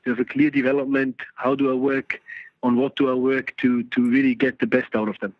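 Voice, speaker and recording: A man's voice: medium pitch, level tone, fairly quick, no echo. Man on a dull, dry phone line. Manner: hesitant, many fillers and restarts. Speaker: phone-in guest, goalkeeping coach educator. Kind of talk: casual phone interview.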